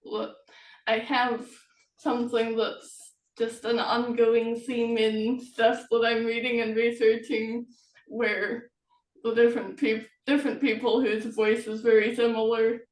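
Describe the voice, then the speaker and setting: An echoey, distant recording of a woman in casual conversation. Her voice is fairly high and she speaks slowly and haltingly, letting thoughts trail off unfinished. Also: level tone. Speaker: author and interview host. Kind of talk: casual chat. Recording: echoey room, distant from mic.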